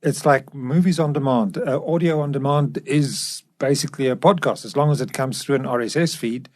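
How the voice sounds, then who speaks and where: Man, mid-pitched, chatting. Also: moderate pace. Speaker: podcast co-host. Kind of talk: casual podcast discussion.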